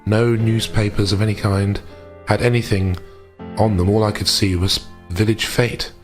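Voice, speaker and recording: A man playing a reserved, polite gentleman investigator in a tabletop roleplay, speaking formally and hesitantly. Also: lowish voice, flat delivery, soft background music, natural voice. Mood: uneasy, puzzled. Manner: hesitant, measured, formal period phrasing.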